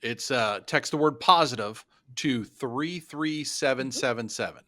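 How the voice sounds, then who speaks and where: A man's voice: mid-range voice, a little slow, a bit bright. A man hosting an interview, giving brief acknowledgements and stammering slightly as he starts his questions. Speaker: podcast host. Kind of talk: conversational interview.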